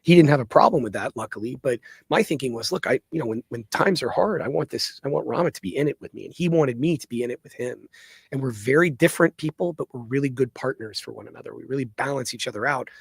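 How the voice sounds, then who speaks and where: A man being interviewed as an entrepreneur, telling stories in a conversational way. He talks quickly in a medium-pitched, raspy voice with some fry. He often stops to check that the listener follows. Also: lively intonation, no echo, clean studio sound. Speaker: entrepreneur guest.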